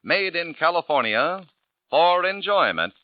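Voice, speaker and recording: A man's voice: fairly high pitch, big pitch swings, unhurried. A man with an American accent reading a scripted 1940s radio commercial as the sponsor's polished announcer. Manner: polished salesman's delivery. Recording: dull vintage radio recording.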